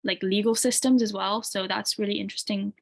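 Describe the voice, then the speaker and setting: A woman's voice: medium pitch, a little fast, creaky. A woman in her twenties, a university student, answering interview questions casually with lots of "like" and "um". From UK, grew up in Tanzania. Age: 20s. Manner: relaxed, many "like" and "um" fillers.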